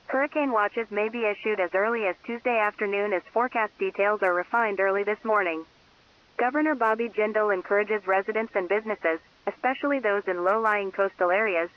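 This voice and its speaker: A woman reading a scripted news update as a radio station announcer. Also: mid-range voice. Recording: dull, lo-fi radio sound.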